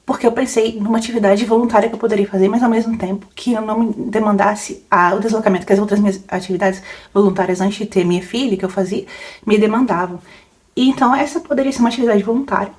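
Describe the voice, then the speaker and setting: A woman's voice: medium pitch, steady tone, quick pace, a little mellow. A young adult woman, a book podcaster rambling casually through questions, laughing often and full of fillers. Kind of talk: casual Q&A chat.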